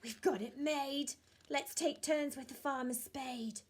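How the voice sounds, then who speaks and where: A woman's voice: high voice, fairly even intonation, a little slow, pitched above the performer's own voice. A woman voicing a greedy, bumbling male thief in a rhyming storybook, in a blustering comic tone.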